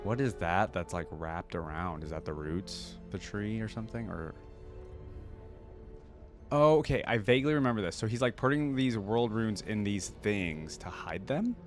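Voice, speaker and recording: A man's voice: on the low side, expressive, moderate pace. A man hosting a reaction video, commenting casually and thinking aloud with lots of questions as he watches. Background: music from the watched video.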